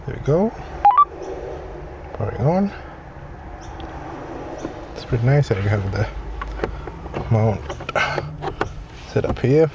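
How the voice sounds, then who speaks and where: A man giving a casual, low-key and somewhat hesitant unboxing and installation walkthrough. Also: sing-song, slow, pauses often. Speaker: vlogger demonstrating a product. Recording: clearly noisy, vehicle ambience.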